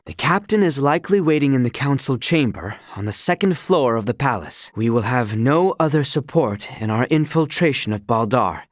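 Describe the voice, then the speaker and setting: A man's voice: medium pitch, no room sound, clean studio sound, lightly acted. A man voicing an earnest, dutiful young royal knight in a video game, with courtly, precise, old-fashioned diction. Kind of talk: video game character voice lines.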